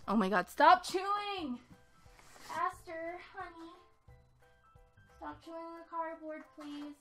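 Young woman w a super high bright voice, slow, sweary n exasperated, bit of music behind. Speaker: amateur voice actor. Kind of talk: blooper outtakes, casual muttering.

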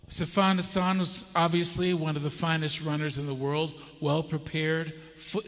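A man's voice: on the high side, fairly bright tone. A middle-aged American man preaching a sermon on a church stage, telling a story and asking the audience questions. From United States. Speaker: pastor. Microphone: handheld microphone. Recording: church hall reverb.

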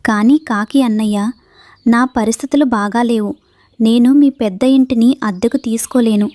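A woman as a high, mellow mother sparrow. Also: normal speed, no room sound, natural voice. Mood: worried. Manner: earnest, motherly. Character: earnest motherly female sparrow, caring and firm. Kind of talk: children's cartoon voice acting.

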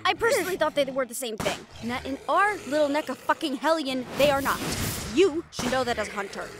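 Woman, high voice, very lively pitch swings, tough fantasy fighter, dramatic sweary audio roleplay acting. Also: fairly quick, background noise.

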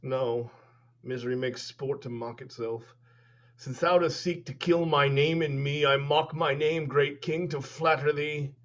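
A dying old duke, declamatory, slow, raised voice, muffled video call. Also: male voice, on the high side, fairly even intonation, a bit bright. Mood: bitter. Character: dying old English duke, grave and prophetic. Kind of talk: Shakespeare staged reading. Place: home room.

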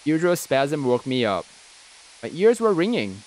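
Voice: medium pitch, very animated, a little slow, darkish tone, low-quality recording. A let's play host reading visual novel narration aloud, with casual asides.

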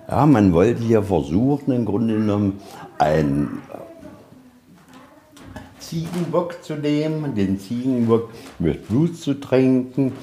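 A man's voice: mid-range voice, dark tone, a little hiss or hum. A man explaining exhibits informally as a museum guide, conversational and a little rambling.

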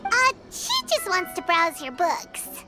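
Super high bouncy little sprite girl, eager and cheery, game cutscene w loud music. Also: big pitch swings, slow, full character voice. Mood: explaining. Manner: bubbly, eager, reassuring. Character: tiny chirpy female floating companion sprite.

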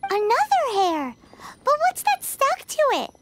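A woman voicing a tiny, excitable fairy companion in a video game, with a squeaky, childlike, cutesy delivery. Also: unhurried, full character voice. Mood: curious. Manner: cutesy, exclamatory. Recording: game capture with loud background noise.

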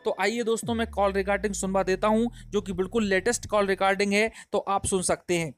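A man's voice: high voice, fairly even intonation, fairly quick. A man hosting an online news update, addressing viewers in a presenter's manner. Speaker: YouTube channel host. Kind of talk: news update narration to subscribers. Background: background music.

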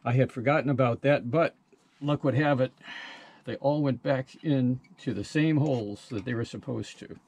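A man's voice: medium pitch, normal speed, darkish tone, a little hiss or hum. An older man giving a casual, plain-spoken how-to narration in a matter-of-fact way. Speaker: sailor demonstrating boat engine repair.

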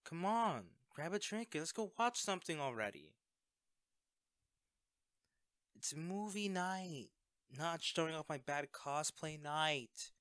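Audio drama role: male actor as caring young secret hero, higher-pitched and bright, sheepish, hesitant delivery. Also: expressive, a little slow, clean tone, no room sound, studio quality. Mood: playful pleading. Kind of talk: audio roleplay acting, conversational.

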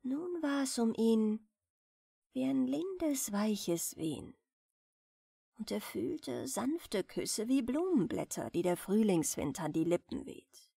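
Female bedtime-story narrator, soft and expressive, with lively melody and a very dry sound. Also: fairly high pitch, takes it slowly, creaky, breathy edge, dry recording. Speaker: audiobook narrator. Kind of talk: gentle bedtime fairy-tale reading.

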